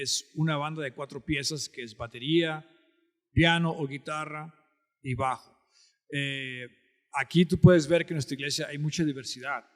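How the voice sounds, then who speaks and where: Reverberant Mexican-American man, slightly high voice. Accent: Mexican-American border Spanish. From Texas-Mexico border, United States. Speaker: interview guest, church band director. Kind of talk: conversational explanation. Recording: long hall-like reverb.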